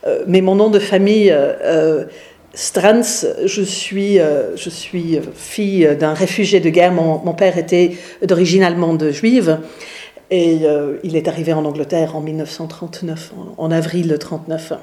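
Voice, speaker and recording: Middle-aged English-accented woman pastor, hesitant talk, lowish voice, roomy echo. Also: fairly even intonation, a little slow, dark tone. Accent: English, with some Swiss. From England. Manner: hesitant, many fillers and restarts. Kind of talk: informal lecture to a group.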